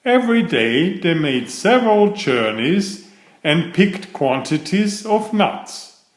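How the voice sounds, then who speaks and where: A man reading a children's story aloud in a measured, expressive storytelling manner. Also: high voice, reverberant.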